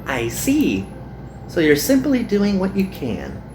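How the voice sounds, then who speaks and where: A woman voicing a kind, earnest young magical girl in a visual novel, with gentle and formal phrasing. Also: lowish voice, huge pitch swings, takes it slowly, music in the background, full character voice, rough recording. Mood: sympathetic.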